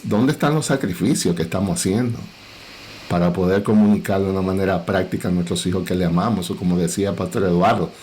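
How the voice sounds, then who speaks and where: A middle-aged man with a Dominican Cibao accent, a pastor speaking earnestly and in a measured way on a parenting panel. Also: lowish voice, a little mellow, a little hiss or hum, rough recording. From Dominican Republic.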